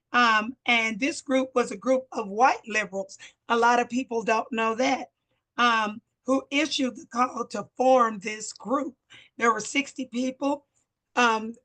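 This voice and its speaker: Older woman, hoarse, high-ish voice, earnest, community meeting speaker. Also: a bit bright. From Missouri. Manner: earnest, explanatory, short phrases with fillers. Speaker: guest speaker, local civil rights chapter president.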